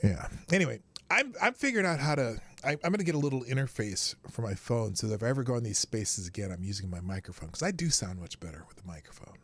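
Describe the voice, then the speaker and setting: A man hosts a live show into a proper microphone, rambling through his thoughts with joking, self-mocking asides. His voice sits in an ordinary middle pitch. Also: very animated, fast, few pauses, fairly bright tone. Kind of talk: casual commentary. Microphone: studio microphone.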